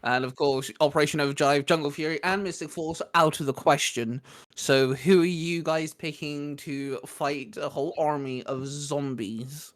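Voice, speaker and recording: A man's voice: a little high, fairly even intonation, normal speed, dry recording, studio quality. A man co-hosting a casual podcast, steering the discussion and reading out a list. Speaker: co-host leading the questions.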